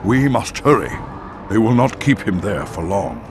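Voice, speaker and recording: A man's voice: on the low side, sing-song, moderate pace. A man playing a grave, noble and protective armoured polar bear in a video game, speaking in a solemn, formal way. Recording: loud music over the voice.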